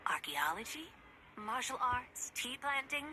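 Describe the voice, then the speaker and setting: Lofty, theatrical immortal woman, high-voiced. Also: big pitch swings, a little slow, bright, forceful tone, a little hiss or hum, full character voice, poor audio. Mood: amused, lofty. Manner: archaic, grandly eloquent, theatrical. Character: lofty, amused immortal adeptus woman who roams the world. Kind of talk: video game character dialogue. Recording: background music behind the voice.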